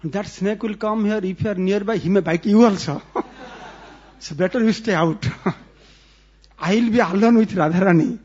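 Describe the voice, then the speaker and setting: A man's voice: sits high, moderate pace, pauses often, darkish tone, slightly rough. A man voicing a crafty, confident female mantra healer who questions and coaxes, on a dull lo-fi recording. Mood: coaxing.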